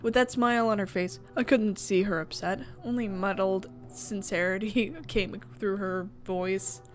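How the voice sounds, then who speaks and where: A woman's voice: mid-range voice, fairly even intonation, a little slow, faint music behind. A woman reading story narration aloud from a visual novel in a casual playthrough. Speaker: visual novel reader and streamer.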